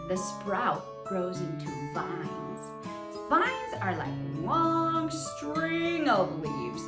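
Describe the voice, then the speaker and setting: A woman hosting a children's lesson and read-aloud, speaking in an exaggerated child-directed way and putting questions to her listeners. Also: on the high side. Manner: exaggerated child-directed delivery, asking questions and pausing for answers. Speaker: children's teacher and host. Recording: roomy echo with plucked guitar music. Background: plucked guitar music.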